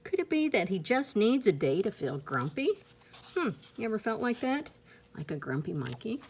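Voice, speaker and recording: A woman's voice: medium pitch, very animated, normal speed, a little mellow, husky. A woman reading a children's storybook aloud expressively, with casual asides spoken directly to young listeners. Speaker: storybook reader.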